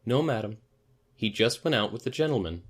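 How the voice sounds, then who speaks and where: A man's voice: on the low side, expressive, fairly quick, voice pushed up. A man voicing a prim, respectful parlour maid, a woman with a grudging, self-righteous manner, in an audiobook. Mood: resigned. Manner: deferential, prim, grudging in answers. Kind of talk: audiobook character voice.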